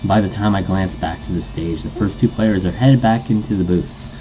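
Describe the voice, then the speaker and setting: Guy reading a game out loud, lowish soft dark voice, kinda rambly, some background noise. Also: normal speed, rough recording. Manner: rambling, easily sidetracked. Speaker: let's player reading a visual novel aloud. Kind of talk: casual gaming commentary, reading game text aloud.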